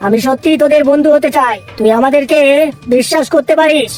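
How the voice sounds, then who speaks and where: A woman's voice: sits high, fast, darkish tone, natural voice. A woman voicing a proud male lion in a cartoon, commanding and boastful. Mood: moved, conciliatory. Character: fierce male lion lord, boastful, softening. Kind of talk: cartoon voice acting. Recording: music behind the voice.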